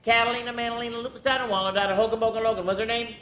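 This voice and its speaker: A woman's voice: fairly even intonation, racing, a bit bright. Echoey woman reading children's rhymes aloud. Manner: chatty, exclamatory, drawing out rhymed words. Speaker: children's story time host. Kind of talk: animated picture-book read-aloud with sing-song rhymes and casual asides. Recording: echoey room with background rumble noise.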